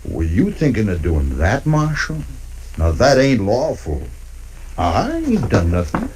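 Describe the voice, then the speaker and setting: A smirking frontier troublemaker, folksy and mock-polite, with a lowish voice. It is 1950s radio western acting on an old, faintly noisy recording. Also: male voice, wildly swooping pitch, darkish tone. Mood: taunting. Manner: folksy, drawn-out, mocking.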